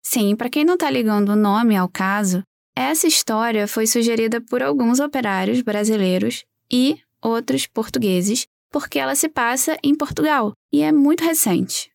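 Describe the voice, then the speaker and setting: Woman, Brazilian accent, fairly high voice, podcast host, conversational storytelling, dry close recording. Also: lively intonation, normal speed, vocal fry, dry recording. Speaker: true crime podcast host.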